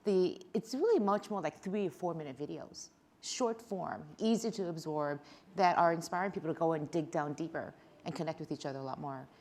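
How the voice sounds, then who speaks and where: A woman speaking on a conference panel, explaining trends and figures in a thoughtful manner that trails off softly at the ends of her points. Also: low-pitched, a little fast. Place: conference stage.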